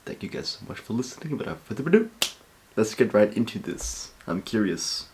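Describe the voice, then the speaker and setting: A man with a fairly low voice gives casual reaction commentary as host. He talks informally, with slang and loose swearing. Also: sing-song, brisk, noisy background.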